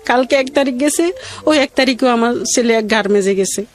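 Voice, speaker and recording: A middle-aged woman with a colloquial regional Bangladeshi accent tells her own life story in a halting, rambling, plaintive way. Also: on the high side. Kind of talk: emotional personal storytelling.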